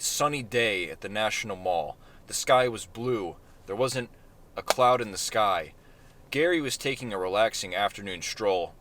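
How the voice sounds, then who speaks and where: A man reading his own short story aloud in a deadpan manner, with self-mocking asides. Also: on the low side.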